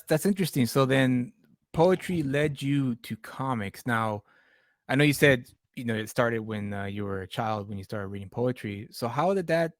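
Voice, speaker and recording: A male host asks curious, winding questions and drops in short acknowledgments. His voice sits in a middle pitch. The recording is dry, with no room sound. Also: dry recording. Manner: curious, prompting, with short backchannels. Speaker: podcast host, interviewer. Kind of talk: casual interview questions.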